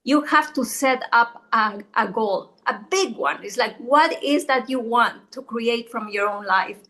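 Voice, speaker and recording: A woman's voice: fairly high pitch, unhurried, fairly bright tone. Ecuadorian woman realtor telling her story. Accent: Ecuadorian Spanish. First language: Spanish. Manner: earnest, storytelling, many restarts. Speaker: guest realtor. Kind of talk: casual interview chat.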